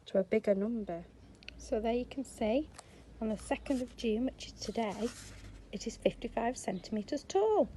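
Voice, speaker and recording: A woman's voice: a little high, animated, moderate pace, warm tone, slight background noise. A woman speaking to young children as a teacher and story reader, explaining simply, with questions and emphasis. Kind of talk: speaking to young children, reading a picture book.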